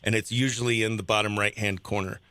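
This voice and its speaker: A man's voice: lowish voice, steady tone, fast talker, sharp tone, clear, resonant tone, no echo. A man hosting a radio morning show, chatting casually and upbeat with informal slang as he runs a contest. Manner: informal slangy, exclaims dude and oh heck. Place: small radio studio, close dry mic.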